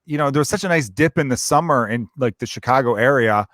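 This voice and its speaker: Thirties podcast host, easygoing and supportive, dry close mic. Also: male voice, mid-range voice, fast talker, fairly bright tone, no room sound. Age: 30s. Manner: friendly, encouraging, talks in loose run-on sentences. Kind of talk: casual chat.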